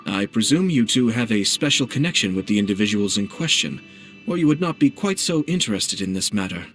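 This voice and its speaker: An actor playing a polite, composed young male clan head in a video game, speaking in a formal, measured, elegant manner. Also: fairly low pitch, animated, a bit bright. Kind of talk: video game character acting. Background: game music.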